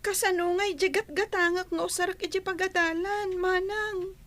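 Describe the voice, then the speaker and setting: A woman's voice: very high voice, steady tone, moderate pace, fairly bright tone. A woman acting a sad young schoolgirl in an Ilocano radio drama. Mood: plaintive. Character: sad young schoolgirl daughter, dependent on her nanny.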